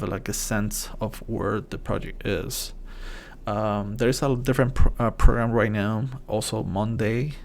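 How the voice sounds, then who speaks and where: A man's voice: on the low side, slight background noise. A man from Puerto Rico with a Puerto Rican Spanish accent, a native Spanish speaker, hosting a casual tech tips vlog in English with many fillers and restarts. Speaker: channel host.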